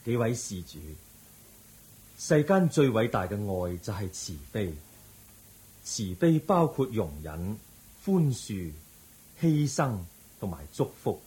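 A man playing a serene, compassionate holy teacher who preaches in a solemn, measured way in a radio drama. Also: fairly low pitch, big pitch swings, darkish tone, husky, heavily acted, rough recording. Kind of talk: Cantonese radio drama acting.

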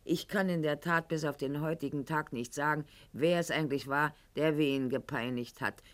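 An actress playing a proud, sharp-tongued aristocratic lady in a radio play monologue, speaking in an articulate, ironic and haughty manner. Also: sits low, steady tone, normal speed, lightly acted. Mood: indignant, proud. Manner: haughty, ironic, articulate, addressing a silent listener.

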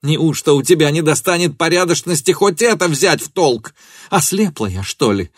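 A male narrator plays a scorned woman in a literary audiobook. The voice is raised high and loud, spitting bitter accusations with venomous contempt. The recording is close and dry. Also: lively intonation, normal speed, slightly rough, dry recording. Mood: furious, bitter. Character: furious, betrayed young woman hurling scornful accusations.

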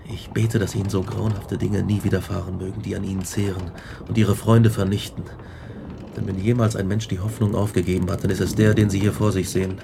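An exhausted, haunted man weighed down by guilt and despair. The voice is low, grave and anguished, in radio drama style. Clear ambient noise runs behind it. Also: flat delivery, brisk.

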